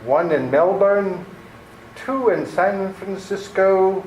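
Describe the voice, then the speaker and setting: An actor playing a menacing, proud murderer in an old-time radio play, with a deliberate, emphatic delivery. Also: male voice, high-pitched, a little mellow, muffled recording. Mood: boastful, insistent. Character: menacing, proud male murderer, cold and boastful. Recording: roomy, slightly noisy amateur recording.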